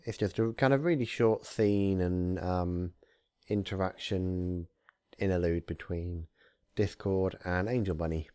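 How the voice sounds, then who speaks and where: A man who hosts readings of fan fiction, talking casually and narrating with theatrical, deliberate phrasing. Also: deep voice, dark tone, clean tone, no room sound, clean studio sound. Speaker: fan fiction reader, channel host. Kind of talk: casual intro, then narrated story reading.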